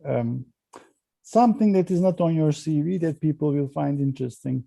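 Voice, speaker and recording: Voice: mid-range voice, moderate pace, gravelly, warm tone, no room sound. An interviewer asking brief, encouraging questions.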